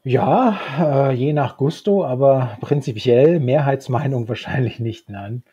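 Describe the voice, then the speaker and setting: Male podcast co-host, husky and dark-toned, loose retelling style, close dry home recording. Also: mid-range voice, unhurried, no room sound. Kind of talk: casual discussion and retelling of an audio drama. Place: small book-lined home room, indoors, remote video call. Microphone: handheld-style recorder close on desk. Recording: dull, muffled podcast recording.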